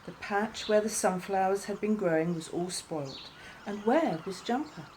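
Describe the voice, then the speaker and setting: A woman reading a scripted children's story in an expressive, measured way. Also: mid-range voice, slight background noise.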